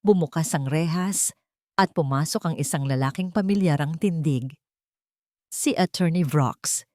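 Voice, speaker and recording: A woman's voice: low-pitched, unhurried, a little mellow, no room sound, clean studio sound. A woman narrating a scripted drama as a storyteller, with dramatic delivery.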